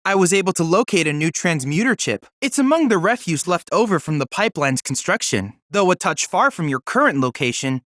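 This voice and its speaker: Teenage boy android assistant, polite, eager, very animated pitch, precise formal diction, dry close sound, video game dialogue. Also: brisk, few pauses, dry recording. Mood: earnest briefing. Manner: precise, formal, articulate, earnest.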